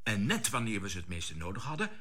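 A spaceship captain with a bright, lowish, animated voice , played by a man. He leads his crew in a radio play and speaks directly and insistently, with sharp swings of emphasis. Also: quick pace, full character voice. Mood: indignant. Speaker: actor in a science fiction audio drama.

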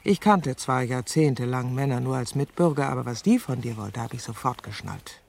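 An actress playing a bitter, jealous middle-aged woman with secret murderous spite in a radio drama, speaking in a low, gravelly, often whispery voice with clipped, venomous control. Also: animated, moderate pace, a little mellow, a little hiss or hum, full character voice. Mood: cold hatred. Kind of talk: intimate radio drama monologue and dialogue.

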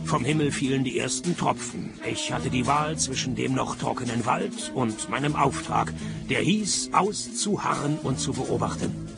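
An actor playing a world-weary, sarcastic private detective who narrates and talks in a dry, deadpan way in a radio play. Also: male voice, medium pitch, moderate pace, vocal fry, over loud music, lightly acted. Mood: dry, weary narration. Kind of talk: hard-boiled detective radio play, first-person narration and dialogue.

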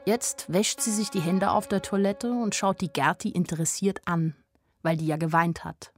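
A woman's voice: fairly low pitch, fairly even intonation, normal speed, faint music behind, natural voice. An actress playing a naive but observant young woman who tells her own story in a wry, plain-spoken radio drama narration.

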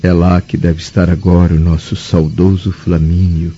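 An actor playing a grave, nostalgic Roman senator in a formal, solemn radio drama style. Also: male voice, sits low, flat delivery, moderate pace, dark tone, husky, soft background music. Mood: wistful, solemn. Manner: solemn, declamatory. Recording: muffled, dull radio drama recording.

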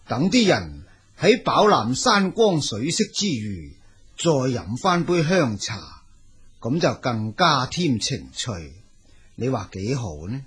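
Slow, husky Cantonese tea grower. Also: male voice, mid-range voice, full character voice. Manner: unhurried, genial, persuasive. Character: kindly, idealistic man, tea grower soon immortal. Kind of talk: Cantonese audio drama acting.

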